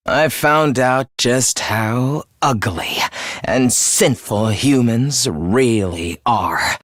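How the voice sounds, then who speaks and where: A man's voice: on the high side, fairly bright tone, dry recording, clean studio sound. A man playing a young student in a video game, earnest on the surface and a cold, misanthropic killer beneath, theatrical and articulate. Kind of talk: dramatic anime-style video game voice acting.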